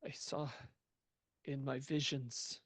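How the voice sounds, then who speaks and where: A man putting on a haunted mystic from a tabletop game who speaks in a slow, halting, flat way. His voice sits a little higher than his own and comes across dry and close. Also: no room sound.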